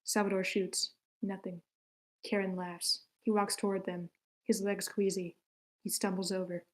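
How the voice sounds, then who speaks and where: A woman reads stage directions at a screenplay table read. Her mid-pitched voice stays fairly flat, moving matter-of-factly through short scripted sentences. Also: unhurried. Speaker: narrator reading stage directions.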